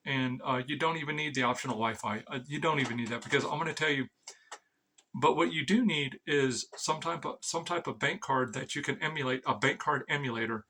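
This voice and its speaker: A man's voice: medium pitch, brisk, sharp tone, a little hiss or hum. A man giving a rambling, informal explainer as an amateur vlogger, blunt and sweary, often stammering over repeated words.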